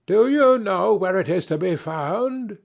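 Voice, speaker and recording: A man voicing a sly, commanding little ugly dwarf from a storybook, calling out in a deliberate, drawn-out way. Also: sits high, lively intonation, creaky, voice pushed up, exaggerated voice. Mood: helpful, instructing. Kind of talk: fairy-tale storybook character voice.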